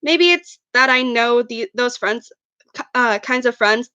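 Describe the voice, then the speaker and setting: A woman's voice: a little high, unhurried, sharp tone, no echo, lo-fi sound. A woman reading her own fan fiction aloud as first-person narration, an amateur who stumbles over words now and then.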